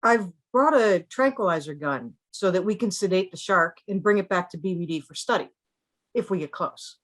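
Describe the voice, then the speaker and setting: A woman's voice: on the low side, animated, normal speed. A woman at a script table read playing a cautious, fussy marine scientist, precise and protesting. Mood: cautious objection.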